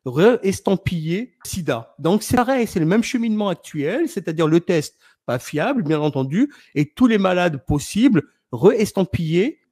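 Guy running a live stream, kinda high voice, pushy and argumentative. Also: sing-song, moderate pace, darkish tone, husky. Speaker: livestream host. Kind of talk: casual chat on a live stream.

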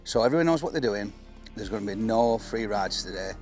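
Man, fifties, English accent, low pitch, fishing presenter, faint music behind. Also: animated, fairly quick, darkish tone. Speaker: angler and presenter. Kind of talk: talking to camera about a fishing match. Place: outdoors on an open reservoir bank.

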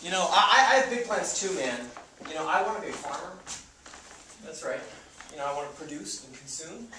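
A man's voice: a little high, fairly quick, natural voice. A young man on stage playing an idealistic, pushy would-be farmer in slangy, rambling speech. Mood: passionate, preachy. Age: young adult. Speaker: stage actor. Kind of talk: live stage comedy-drama acting. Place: indoor theatre stage. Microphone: distant audience camera. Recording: echoey theatre stage from far off, noisy.

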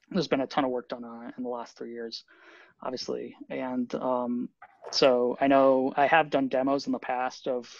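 A man, a software developer, explaining technical updates at a team meeting on a video call, thoughtful and full of fillers. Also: medium pitch, gravelly, no room sound. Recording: dull lo-fi video call audio.

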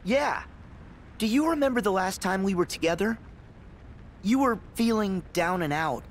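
An actor playing an upbeat, earnest teenage boy hero in an animated fantasy game, eager and sincere. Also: high-pitched, moderate pace, slight background noise. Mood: curious, friendly.

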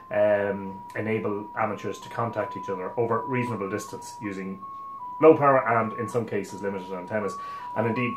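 A man in his forties with an Irish accent casually explains a demonstration, thinking aloud as he works in a relaxed way. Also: lowish voice, echoey room, low-quality recording. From Ireland. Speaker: amateur radio operator presenting. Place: small home radio room, indoors. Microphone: camera a couple of metres away.

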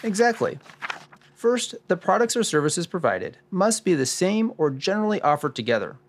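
A man's voice: high voice, big pitch swings, normal speed, slight background noise. A man playing an assured, orderly expert sleuth in a scripted radio drama, explaining in a didactic way. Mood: assured, instructive. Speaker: lead expert guiding the discussion.

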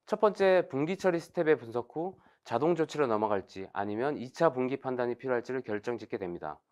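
A man delivers a scripted technical presentation in a measured, formal manner. His voice sits at an average pitch but moves up and down noticeably, stepping through each point as an explainer would. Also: animated, darkish tone.

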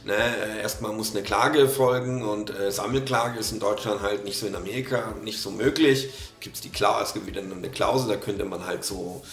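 A man's voice: on the low side, moderate pace, few pauses, roomy sound, faint music behind. A man in his thirties with a slight cold, commenting on a video casually, with many fillers and restarts. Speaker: reaction video host. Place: small room at a desk.

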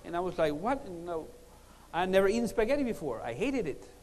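Male preacher, middle-aged, high register, emphatic storyteller, big echoing hall PA sound. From Puerto Rico, born in Cuba. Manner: animated storytelling, emphatic pauses and repetition. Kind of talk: sermon with humorous personal stories. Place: large conference hall, on stage at a lectern. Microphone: lapel mic. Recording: reverberant hall sound system.

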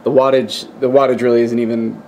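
Male hobbyist host, lowish pitch, monotone, casual and rambling explainer, faint room noise behind. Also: a little fast, highs cut off. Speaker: video host, radio hobbyist. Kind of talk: casual unscripted demonstration, explaining. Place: indoors at a wooden workbench.

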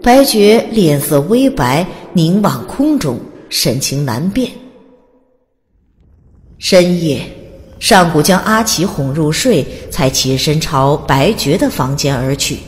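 A woman's voice: sits low, lively intonation, takes it slowly. A woman reading scripted story narration in a dramatic audiobook style. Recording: long reverb, faint background noise.

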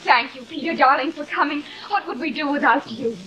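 An actress playing a sweet, devoted, solicitous young wife in the style of old live television drama. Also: female voice, sits high, fairly quick, a crowd in the background, close to an everyday voice, poor audio. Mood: concerned, grateful. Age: young adult.

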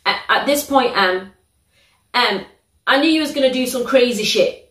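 A young woman with a British accent, hosting a reaction video in a living room, telling stories in an animated, slangy, exclamatory way. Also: a little high, moderate pace. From United Kingdom. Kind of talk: casual reaction vlog. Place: living room, sitting on a sofa. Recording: roomy living-room echo.

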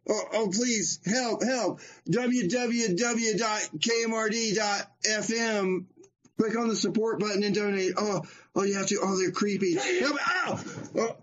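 A man's voice: sits high, fairly bright tone, dull audio. A man playing a panicky, whimpering hostage who stammers and pleads for help in comedy radio theater.

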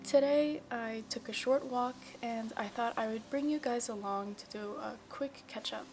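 A young woman with a slightly high voice reads a calm, reflective voice-over for her vlog. She speaks softly and evenly, as if reading from a script. Gentle music plays clearly under her narration. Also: moderate pace. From Virginia. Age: young adult. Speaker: vlogger. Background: soft background music.

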